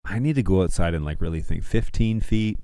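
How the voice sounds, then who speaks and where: A man's voice: deep voice, brisk, warm tone, clear, resonant tone, dry recording. A middle-aged man hosting a livestream, chatting informally and rambling as he thinks aloud while browsing. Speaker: livestream host.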